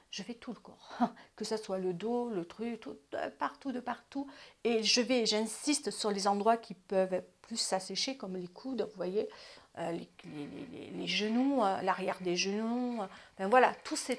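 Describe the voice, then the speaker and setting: A woman in her fifties who hosts an advice channel and talks casually about self-care, often restarting her sentences and dropping into quiet asides. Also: medium pitch, measured pace. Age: 50s. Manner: chatty, repeats and restarts, drops to asides.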